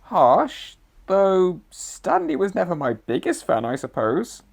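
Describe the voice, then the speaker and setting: A woman's voice: on the low side, wildly swooping pitch, takes it slowly, gravelly, a little mellow, full character voice. A woman playing a wry ghost of a murdered male writer. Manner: wry, sarcastic asides. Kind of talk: amateur audio drama acting.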